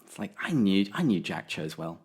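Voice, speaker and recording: A man hosting a podcast, asking relaxed, encouraging questions in casual chat. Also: low-pitched, normal speed, breathy edge. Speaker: podcast host.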